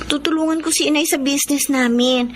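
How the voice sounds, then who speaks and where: An actress playing a dutiful, polite, sweetly firm teenage daughter in a Filipino radio drama. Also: female voice, sits high, steady tone, a little fast, slight background noise, lightly acted. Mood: sweetly declining.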